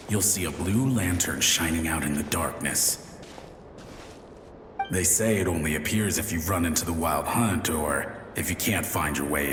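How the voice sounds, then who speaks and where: An actor playing a teasing, chatty ghost of a lighthouse soldier in a video game, telling tales in a folksy way. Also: male voice, low-pitched, a bit bright. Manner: taunting, folksy storytelling. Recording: long echoing reverb with music behind.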